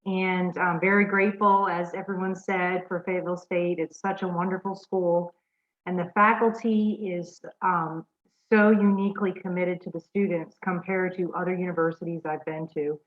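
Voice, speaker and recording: Woman on a tinny call line, flat lowish voice, sounds grateful. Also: moderate pace. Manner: sincere, grateful. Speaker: dentist, alumna speaker. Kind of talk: virtual panel introduction. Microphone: video call. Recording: tinny phone-like audio.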